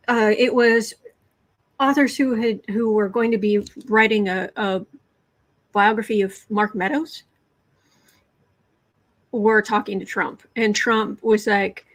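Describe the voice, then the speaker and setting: A woman hosting a political tarot livestream who reads out cards and viewer comments in a chatty, sarcastic way, often restarting her sentences and clearing her throat. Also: medium pitch. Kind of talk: casual livestream commentary while reading cards and chat.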